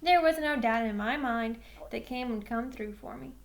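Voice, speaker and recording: High-voiced woman reading a story. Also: normal speed, creaky. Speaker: teacher reading a book aloud. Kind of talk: expressive children's novel read-aloud.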